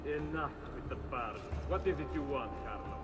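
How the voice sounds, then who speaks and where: An actor playing an irritable, anxious, self-important villainous merchant in a game, with an Italian accent. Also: male voice, mid-range voice, animated, moderate pace, close to an everyday voice. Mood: defensive. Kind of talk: video game cutscene acting. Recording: echoey long reverb, loud music behind.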